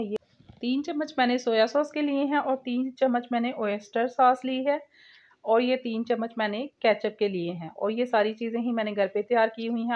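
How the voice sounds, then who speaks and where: A woman hosts a home cooking channel, talking through a recipe step by step. Her voice sits a little high. The sound is somewhat dull and lo-fi, like a simple home recording. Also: darkish tone. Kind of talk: recipe voice-over, step-by-step instruction.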